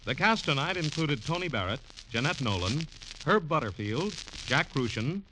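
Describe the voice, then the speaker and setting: A man's voice: a little high, very animated, moderate pace, noisy background. A man reading scripted credits and promotions as a vintage radio network announcer. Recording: vintage radio broadcast recording.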